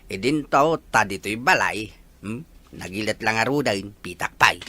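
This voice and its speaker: A man's voice: on the low side, lively intonation, normal speed, bright, forceful tone, dry recording. An actor playing a proud, delighted father in a radio drama.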